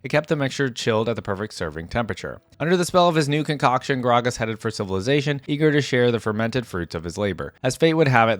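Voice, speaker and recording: A man's voice: on the low side, no room sound. A man hosting a reaction video in a casual, informal, easygoing way, commenting and reading aloud into a close microphone.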